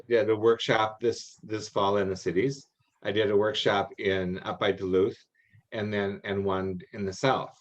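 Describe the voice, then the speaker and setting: A man's voice: lowish voice, dull audio. An older, retired American man explaining things in a meeting, emphatic and given to repeating words for weight. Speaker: workshop presenter and judge trainer.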